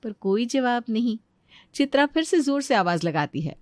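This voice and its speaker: A woman's voice: fairly high pitch, sing-song, moderate pace, darkish tone, no echo. Woman narrating a story, dry sound.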